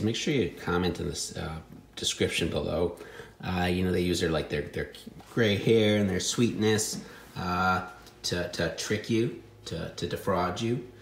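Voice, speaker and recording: Low-pitched man chatting offhandedly, some noise. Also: vocal fry, roomy sound. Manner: offhand, wry, joking. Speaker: reseller showing secondhand finds. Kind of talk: casual chat.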